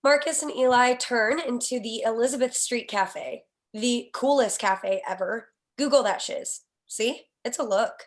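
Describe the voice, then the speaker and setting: A woman's voice: fairly high pitch, unhurried, hardly any pauses. A woman narrating screenplay stage directions in a scripted read, with wry, sassy asides.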